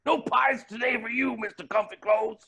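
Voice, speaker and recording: Gravelly, high-pitched flamboyant villain. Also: male voice, steady tone, normal speed, bright, forceful tone, heavily acted. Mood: mock-polite, smug. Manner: pompous, theatrical, mock-polite. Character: flamboyant theatrical mad villain, gleeful, scheming, condescending. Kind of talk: comedic audio drama acting.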